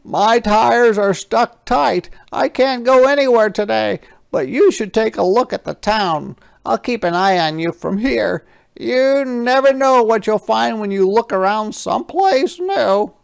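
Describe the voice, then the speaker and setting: A man voicing a friendly, helpful talking truck from a storybook, loud and hollering with drawn-out words. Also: high-pitched, expressive, creaky, clear, resonant tone, pitched above the performer's own voice, full character voice. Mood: regretful, encouraging.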